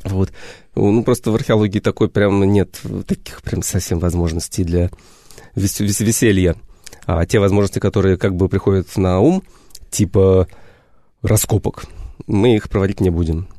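A man, a history educator, answering questions on a radio show in an unhurried way, often searching for words. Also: sits low, steady tone, mellow, dry recording, clean studio sound. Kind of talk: radio interview. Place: radio studio.

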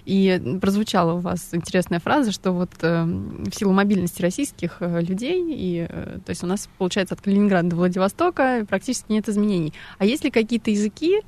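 A woman's voice: mid-range voice, lively intonation, quick pace, hardly any pauses, darkish tone. A woman co-hosting a radio interview from a studio, asking questions and addressing listeners conversationally. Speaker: radio co-host.

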